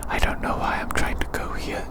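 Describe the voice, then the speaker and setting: An ASMR host whispers a playful, soothing, cutesy ramble outdoors in the woods. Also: moderate pace.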